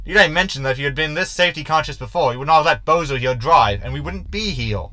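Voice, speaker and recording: A man's voice: a little high, lively intonation, quick pace, sharp tone. A man playing a cocky, sarcastic shapeshifting ship's hologram in a science fiction comedy audio drama, with wordy delivery. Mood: sardonic.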